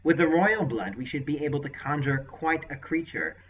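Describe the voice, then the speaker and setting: A man voicing a scheming male sorcerer with a deeply nasal, unctuous, smug manner in an audiobook. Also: animated, normal speed, far from the mic, slight background noise, lightly acted. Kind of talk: audiobook character voice. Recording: lo-fi dull recording.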